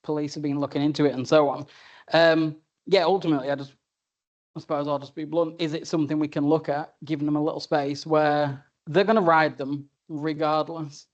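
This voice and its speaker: A man's voice: a little high, fairly even intonation, a little fast, highs cut off. A man speaking as a councillor at a council meeting, asking a question in a plain, informal way. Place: council chamber.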